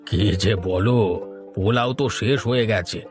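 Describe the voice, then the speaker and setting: Actor as kindly village patriarch, lowish voice, generous, hospitable, middle-aged, cartoon story. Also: male voice, moderate pace, over music, close to an everyday voice. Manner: hospitable, reassuring. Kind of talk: Bengali cartoon dialogue.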